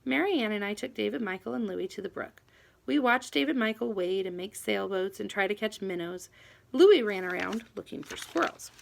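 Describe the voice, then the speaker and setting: A woman reading a children's novel aloud in an expressive, animated first-person storytelling manner. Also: mid-range voice, moderate pace, creaky. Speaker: audiobook reader.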